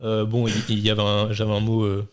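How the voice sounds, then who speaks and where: Low-voiced hesitant male quiz host. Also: monotone, brisk. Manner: hesitant, lots of fillers. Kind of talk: casual chat.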